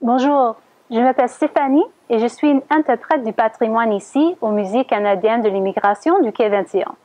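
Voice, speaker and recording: A woman heritage interpreter with a fairly high voice presents a museum tour in clear, measured sentences. The recording is dry, with no room echo. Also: darkish tone. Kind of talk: scripted guided-tour narration.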